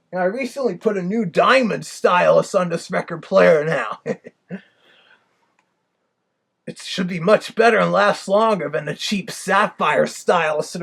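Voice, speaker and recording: A man giving casual review commentary as a vlogger, in a husky, gravelly voice. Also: high voice, a bit bright.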